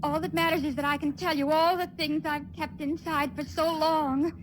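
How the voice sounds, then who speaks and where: An actress plays a brave young woman facing her doom. Her voice is high, emotional and halting, with great tenderness. It sounds like an old, noisy 1950s radio play. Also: level tone, close to an everyday voice. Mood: tender, pleading. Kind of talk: 1950s radio drama acting. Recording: noisy vintage radio recording.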